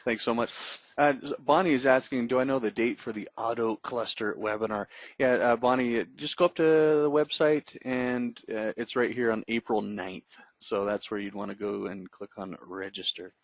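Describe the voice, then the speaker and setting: A man hosts an online webinar, reading viewer questions aloud and closing the session with thanks. His middle-pitched voice is close to the mic and dry. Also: fairly even intonation, normal speed, no room sound. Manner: reads out viewer questions, friendly sign-off lines. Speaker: webinar host. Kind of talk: hosting a webinar Q&A.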